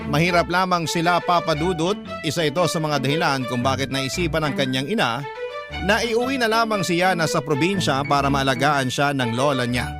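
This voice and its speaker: A man's voice: a little high, a little fast, natural voice. A man playing a young letter writer, narrating the story of his youth in a scripted drama style. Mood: reflective. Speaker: first-person narrator. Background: loud guitar music.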